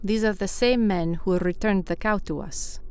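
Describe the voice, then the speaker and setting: A woman's voice: medium pitch, normal speed, darkish tone, a little hiss or hum, natural voice. An actress playing a commanding, disdainful female vampire in a radio play, speaking in an imperious, clipped way.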